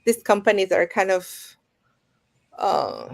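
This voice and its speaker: A woman with a voice of ordinary middle pitch talks through an idea in a relaxed podcast conversation. She builds her thoughts up step by step in lists, reflective and unhurried. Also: vocal fry. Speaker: guest.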